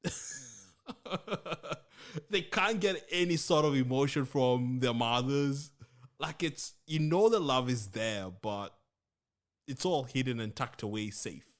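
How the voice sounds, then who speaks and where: A man with a Kenyan accent co-hosting a podcast, laughing often in easy chat. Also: mid-range voice. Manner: slow, laughs often, lively intonation. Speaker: podcast co-host. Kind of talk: casual chat.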